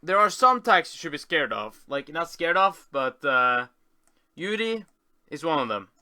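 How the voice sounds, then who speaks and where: A man with a very bright, forceful voice and a clear, smooth tone talks through a casual screen tour. He rambles, stops short and corrects himself as he goes. The sound is close and dry. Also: on the high side, big pitch swings, dry recording. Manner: rambling, halting, self-correcting. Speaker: video host.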